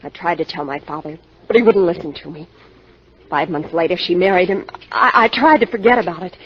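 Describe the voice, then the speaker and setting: A woman's voice: on the low side, fairly quick, close to an everyday voice. A woman acting an earnest, distraught young daughter in 1950s radio drama, a little hesitant, on a muffled vintage recording. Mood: haunted. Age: young adult.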